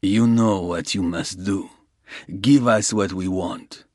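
A man voicing a smug, menacing Russian gangster who talks slowly and mocks his rival. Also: deep voice, a little mellow, dry recording, studio quality. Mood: gloating. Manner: slow, gloating, mocking. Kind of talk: audiobook character reading.

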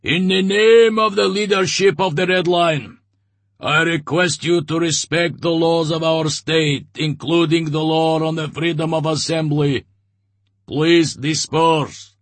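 A man voicing a burly male commander who addresses a crowd in a booming, bureaucratic tone. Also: steady tone, measured pace, no echo. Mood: commanding.

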